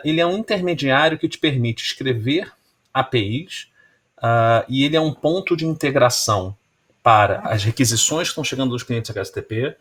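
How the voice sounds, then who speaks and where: A man with a Carioca accent delivers a technical conference talk, his voice somewhat husky and sitting at an ordinary middle pitch. He explains patiently and keeps checking in with his listeners as he goes. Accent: Carioca Brazilian Portuguese. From Rio de Janeiro, Brazil. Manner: explanatory, often tags lines with "né?" and "tá?". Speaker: conference speaker, security researcher.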